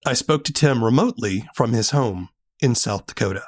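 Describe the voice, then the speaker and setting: A man's voice: medium pitch, expressive, normal speed. Male host for an arts interview programme, middle register, scripted presenting style. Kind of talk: scripted introduction and interview questions.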